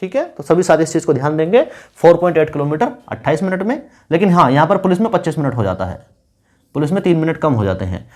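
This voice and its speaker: A man with a fairly high-pitched voice talks as an online exam guide. He instructs candidates informally and repeats key points to drive them home. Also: sing-song, quick pace, darkish tone. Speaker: exam-guidance YouTuber advising police recruitment candidates. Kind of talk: informal instructional video talk.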